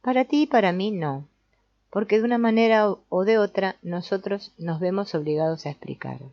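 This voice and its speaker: A woman reading an audiobook as narrator in a measured, explanatory way. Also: medium pitch, a little mellow, no echo. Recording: dull, lo-fi sound.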